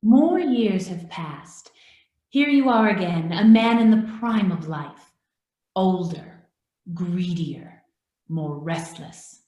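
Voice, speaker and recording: Slow, echoing ghostly spirit played by a woman. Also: lowish voice, animated, mellow, highs cut off. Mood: solemn, knowing. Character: ghostly spirit guide of the past, solemn, unyielding. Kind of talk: radio play acting. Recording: roomy, echoing sound.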